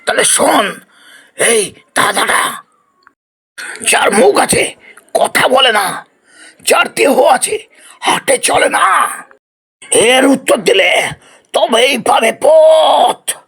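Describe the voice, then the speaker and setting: A man's voice: extremely high pitch, very animated, drawn-out words, creaky, long pauses, a bit bright, breathy edge, full character voice. A man voicing a menacing, riddle-keeping forest spirit in a fairy-tale cartoon, wailing and drawing out the words. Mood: eerie, menacing. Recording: music behind.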